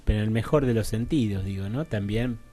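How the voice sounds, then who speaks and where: A man's voice: fairly low pitch, a little fast, mellow. A man with an Argentine accent talking as a guest in a radio interview, tentative and digressive, often checking he is understood. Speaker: guest clinician and academic.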